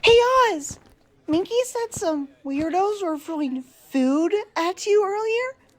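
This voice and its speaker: A perky, friendly young schoolmate, voiced by a woman in a comic dub. The voice is extremely high, with a casual, upbeat and caring manner. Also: expressive, slow, heavily acted. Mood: friendly, concerned. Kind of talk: fandub character acting.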